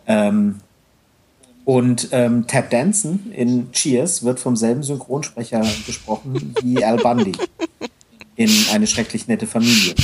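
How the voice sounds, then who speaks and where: Mellow, husky-voiced man chatting. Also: mid-range voice. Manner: rambling, frequent restarts and hesitations. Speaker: podcast co-host. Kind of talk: casual podcast chat.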